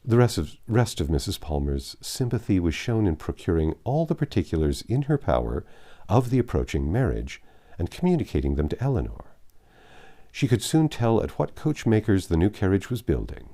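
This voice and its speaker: A man with a low, mellow voice narrates a classic novel. His pitch moves widely as he reads, giving the scripted prose an expressive, literary delivery. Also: moderate pace. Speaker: audiobook narrator.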